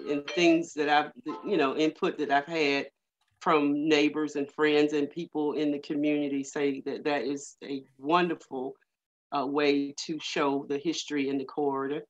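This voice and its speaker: A woman's voice: deep voice, slight background noise. A woman speaking casually in a meeting over a video call, rambling and hesitant with many fillers. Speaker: community working group member. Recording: muffled lo-fi video call audio.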